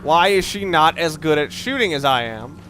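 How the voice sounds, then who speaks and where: A man with a fairly high, forceful, bright voice talks through a video game in a casual let's play style. He digresses and halts mid-thought, opinionated and loosely sweary. There is some noise behind his voice. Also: lively intonation, normal speed. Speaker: let's play gamer commentator. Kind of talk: casual gameplay commentary, opinionated rambling.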